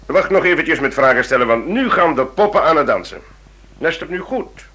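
An actor playing a self-assured British investigator in a radio drama, recounting a case with eager, deliberate relish. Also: male voice, on the high side, lively intonation, bright, forceful tone, slightly rough, full character voice. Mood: eager, teasing.

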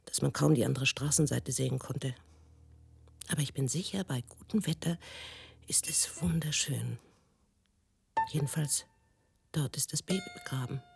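An actress playing a grieving, haunted woman who recalls memories in a measured, subdued monologue for a radio play. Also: rumbling low, creaky, a little mellow, slight background noise, heavily acted.

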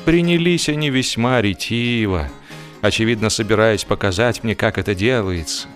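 A man narrating a humorous story in an expressive, theatrical way, with dry comic timing and drawn-out pauses. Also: on the low side, music in the background. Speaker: narrator, audiobook reader.

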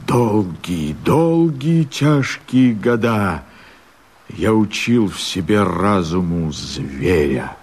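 An actor playing a fierce, brooding leader of a peasant revolt, declaiming verse theatrically in a husky voice. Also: male voice, lowish voice, expressive, darkish tone, full character voice. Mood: brooding, weighty. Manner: theatrical, impassioned, drawn-out declamation. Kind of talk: declaimed verse radio drama.

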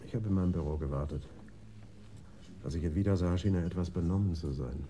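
Very dark-voiced, courteous policeman man acting. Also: low voice, fast, close to an everyday voice. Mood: reporting calmly. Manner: polite, formal reporting. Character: courteous male Amsterdam police officer, deferential, reporting. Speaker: radio drama actor. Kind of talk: German radio crime drama acting.

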